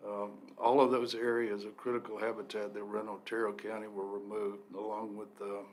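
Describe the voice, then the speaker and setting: Low, even-toned man giving an update. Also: a little hiss or hum, low-quality recording. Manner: steady, explanatory, with frequent "uh" pauses. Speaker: deputy forest supervisor. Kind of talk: briefing at a public meeting. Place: county commission meeting room.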